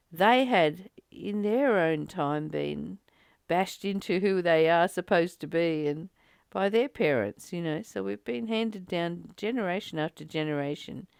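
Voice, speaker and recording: A woman's voice: on the low side, gravelly, darkish tone, no room sound. A woman talking casually in a spiritual study discussion, rambling conversationally and often searching for words. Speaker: psychic healer leading a spiritual book study. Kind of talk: casual spiritual discussion with some read-aloud passages.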